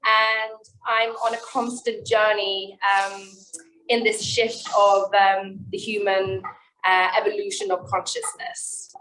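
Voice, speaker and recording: A woman's voice: mid-range voice, level tone, takes it slowly, fairly bright tone, a little hiss or hum, low-quality recording. A woman from Norway, a singer and entrepreneur, speaking earnestly in a video-call interview with frequent ums and restarts.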